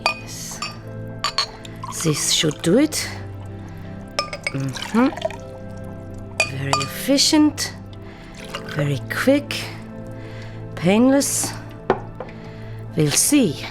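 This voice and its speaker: An actress in an audio drama playing a guarded, defiant captive scientist with a terse, dry delivery. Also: female voice, medium pitch, sing-song, extremely slow, pauses often, music in the background, full character voice. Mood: quietly resolved.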